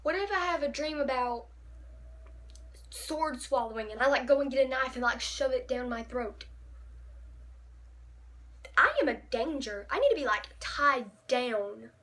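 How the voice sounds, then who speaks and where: Bubbly high-voiced teen girl vlogger. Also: lively intonation, measured pace, long pauses, fairly bright tone. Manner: chatty, dramatic, giggly, self-mocking. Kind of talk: casual storytime vlog.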